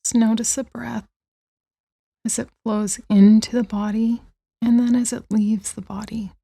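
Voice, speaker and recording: Mellow, gravelly-edged woman guiding meditation. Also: on the high side, little pitch movement. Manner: soothing, gentle, unhurried phrasing with long pauses. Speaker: meditation guide. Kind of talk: guided body-scan meditation.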